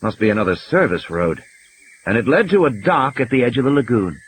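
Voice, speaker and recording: A man's voice: medium pitch, very animated, brisk, slight background noise. A man reading scripted audiobook narration, with ambience and sound effects behind him.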